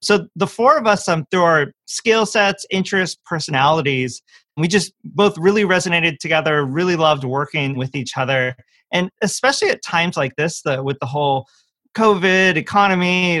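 A man's voice: fairly high pitch, a bit bright, no echo. A man speaking as an interview guest, explaining his work as an investor in an enthusiastic, emphatic, conversational way. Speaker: venture capital partner, interview guest. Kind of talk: conversational self-introduction and explanation.

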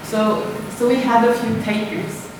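A woman's voice: a little high, fairly even intonation, measured pace. A woman from Norway with a Norwegian accent, giving a conference presentation as a university lecturer, hesitant with many fillers and restarts. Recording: echoey room, distant mic, background noise.

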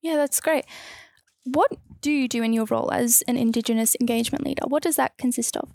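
A woman's voice: a little high, a little fast, creaky, darkish tone, no room sound, clean studio sound. A woman putting prepared questions to someone in an interview.

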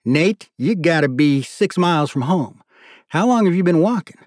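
A man voicing a friendly, retired older man, gentle and plain-spoken, in an audiobook story. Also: a little high, expressive, normal speed, dry recording, studio quality, voice pushed up, natural voice. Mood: surprised, curious.

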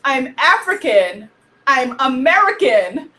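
Loud bright-voiced woman hosting a livestream. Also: high-pitched, takes it slowly. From Guyana. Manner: loud and energetic, chanting and cheering. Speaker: host of an online spiritual festival. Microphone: phone or webcam on a livestream.

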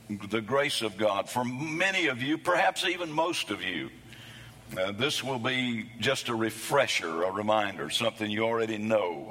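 A man preaching a sermon, his voice hoarse from losing it between services, with a folksy, self-deprecating humor. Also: lowish voice, fairly bright tone, long reverb tail. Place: church sanctuary.

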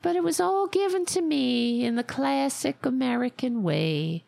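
A woman's voice: high voice, lively intonation, unhurried, gravelly, darkish tone, dry recording, exaggerated voice. A woman putting on a brash older Staten Island widow with a thick local accent, in a satirical, mocking monologue. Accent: Staten Island, New York. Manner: sarcastic, exaggerated, mocking persona.

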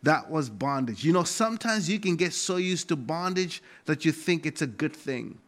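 A middle-aged man with a Malawian accent preaching a sermon as a pastor, emphatic and deliberately repeating key phrases. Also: a little high, expressive.

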